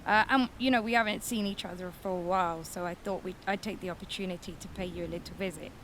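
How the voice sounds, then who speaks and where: A woman's voice: lowish voice, fairly even intonation, fairly quick, a bit bright, a little hiss or hum, close to an everyday voice, low-quality recording. A woman playing a friendly alien researcher who guides travelers in an audio drama, casual in manner. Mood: casual, hesitant. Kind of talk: English-speaking role in French audio drama.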